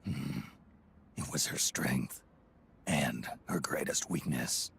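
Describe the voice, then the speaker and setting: Game soldier, grizzled and curt, a very deep gravelly growl. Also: male voice, takes it slowly, fairly bright tone, slight background noise, heavily acted. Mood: grim. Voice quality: gravelly, terse. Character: grizzled veteran male soldier, terse.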